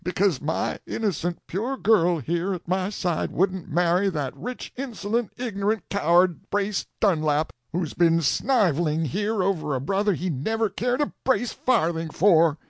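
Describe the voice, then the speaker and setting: A high, gravelly old country man, as a male reader puts him on, pours out a raging, anguished confession in a storybook reading. He talks slowly and forcefully in folksy rustic dialect. The sound is dry and close. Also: expressive, no echo. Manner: slow, forceful, impassioned.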